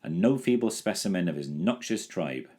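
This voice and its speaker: A man's voice: deep voice, expressive, normal speed, warm tone, breathy edge. A man playing a stately, kindly knight. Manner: stately, grand. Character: kindly, noble knight, grand and solemn.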